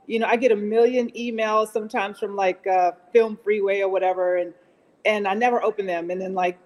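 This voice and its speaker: A woman guest telling a story casually in an interview, animated and acting out quoted remarks. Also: mid-range voice, rough recording. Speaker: executive producer and actress, interview guest.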